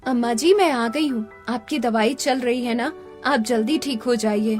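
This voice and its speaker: Woman playing a high-voiced, earnest poor mother. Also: moderate pace, darkish tone, soft background music, close to an everyday voice. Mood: tender. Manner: earnest, pleading. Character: poor, hardworking young mother, pleading and devoted. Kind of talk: animated story dialogue.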